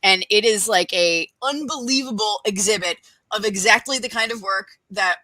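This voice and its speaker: A woman's voice: mid-range voice, moderate pace, bright, forceful tone, no echo. A woman chatting casually and enthusiastically, as a panelist giving an informal plug. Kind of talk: casual chat, promotional plug.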